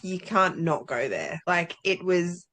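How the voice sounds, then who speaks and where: A woman's voice: fairly low pitch, very animated, slow, a bit bright. A woman co-hosting a casual book discussion, talking informally and thinking aloud as she goes. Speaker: book club podcast co-host.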